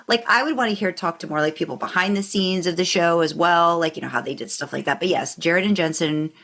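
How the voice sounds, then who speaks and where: A woman with a slightly low-pitched voice chats casually as a podcast co-host. She rambles along in loose, informal fan talk and tends to repeat her phrases as she goes. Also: a little fast, a bit bright.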